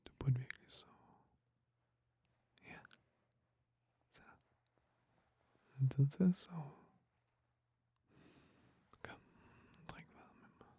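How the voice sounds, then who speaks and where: A man with a Danish accent playing a tender boyfriend in an intimate sleep-aid audio roleplay, murmuring and whispering slowly and soothingly, close to the mic. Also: mid-range voice, no room sound. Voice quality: breathy whisper, husky murmur. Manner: slow, soothing, hushed, with soft hums. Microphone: very close microphone, dry.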